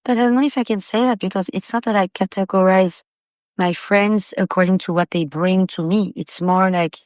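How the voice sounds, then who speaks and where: A woman's voice: medium pitch, steady tone, normal speed, darkish tone, no room sound. A woman from Paris, France, chatting casually as a guest on a podcast. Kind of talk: casual chat.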